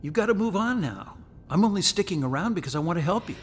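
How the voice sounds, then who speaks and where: An actor playing the gentle, teasing ghost of a dead husband in a radio drama. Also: male voice, animated, fast, husky. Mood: tender. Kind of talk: contemporary radio drama acting. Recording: long reverb, rumbly background noise.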